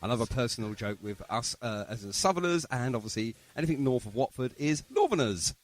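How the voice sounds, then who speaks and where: A man from London with a London accent hosts a podcast in a very animated voice that swoops around in pitch. He talks casually and jokily, rambling a little and throwing in bantering asides. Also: brisk. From London, England. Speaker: podcast host. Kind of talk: casual jokey interview chat.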